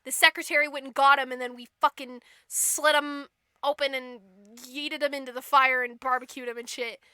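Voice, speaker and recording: Comic storybook villainess, played by a woman: crude, gloating, jealous queen, piercingly bright, very close dry sound. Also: high-pitched, level tone, normal speed, clear, resonant tone, no room sound. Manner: foul-mouthed, gloating. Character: murderous, crude, jealous fairy-tale queen.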